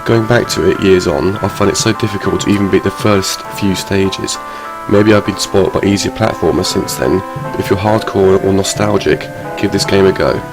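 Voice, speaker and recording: A man with a very deep, gravelly voice reads a game review in a measured way. Loud background music plays under his voice throughout. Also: fairly even intonation. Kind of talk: scripted review narration.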